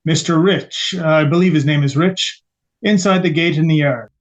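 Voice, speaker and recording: A man's voice: on the high side, normal speed, close to an everyday voice. A man playing a careful police captain giving clipped, hedging testimony in a courtroom transcript reenactment. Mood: guarded, terse. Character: police captain testifying as witness at 1890s trial, terse, careful.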